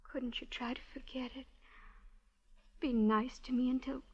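High-voiced pampered young heiress, old radio. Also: female voice, steady tone, unhurried, slightly rough, full character voice. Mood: breezily callous. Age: young adult. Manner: girlish, airy, flouncing. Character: naive, pampered, petulant young heiress, breezily callous. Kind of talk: 1940s radio drama acting. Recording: dull old radio recording.

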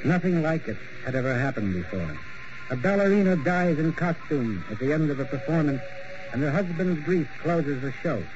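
Brief: man narrating, slightly high voice, lo-fi hissy vintage radio. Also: lively intonation, moderate pace. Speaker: storyteller, guest narrator. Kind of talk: scripted narration. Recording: muffled old radio broadcast with hiss.

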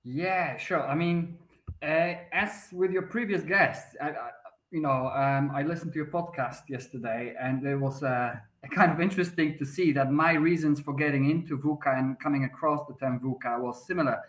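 A man's voice: a little high, normal speed. A man in his thirties with a Polish accent, a podcast guest answering at length with frequent restarts and fillers. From Poland. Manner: hesitant, many restarts and fillers. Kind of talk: conversational interview answer. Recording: roomy echoey sound.

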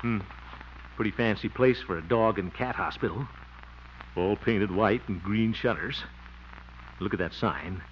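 A man's voice: lowish voice, measured pace, pauses often, natural voice. An actor playing a hearty, scheming middle-aged man with blustery exclamations and chuckles in 1950s radio comedy. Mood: eager, conspiratorial. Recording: noisy, dull old radio recording.

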